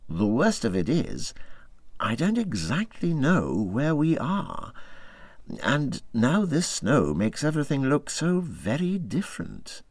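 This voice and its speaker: A man's voice: medium pitch, wildly swooping pitch, takes it slowly, a little mellow, no echo, pitched above the performer's own voice, full character voice. A man voicing a kindly, practical water rat in a storybook reading, with a considered and reassuring manner. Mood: resigned, pondering. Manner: considered, reassuring, matter-of-fact. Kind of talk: storybook character voice in audiobook reading.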